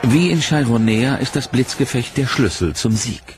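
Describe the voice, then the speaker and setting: A man giving scripted, measured documentary narration in a dramatic tone. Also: mid-range voice. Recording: narration over loud music.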